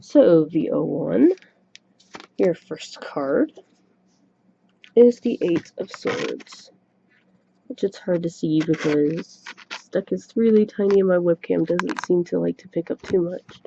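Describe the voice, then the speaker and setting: A soft-spoken woman giving a tarot reading to camera at home, chatty and a little self-conscious, recorded on a webcam microphone. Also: lowish voice, slow, vocal fry, pauses often, a little hiss or hum. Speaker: tarot reader. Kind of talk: casual solo reading to camera. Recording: dull lo-fi webcam mic recording.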